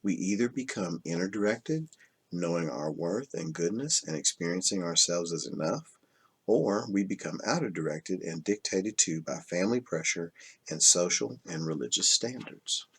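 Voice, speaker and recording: A man reading spiritual self-help nonfiction aloud in a measured, instructional way. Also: sits low, lively intonation, breathy edge. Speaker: reader of a self-help book.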